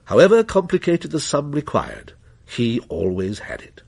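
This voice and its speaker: A man narrating a scripted dramatic story in a measured, literary manner. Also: a little high, very animated, creaky, a little mellow, breathy edge.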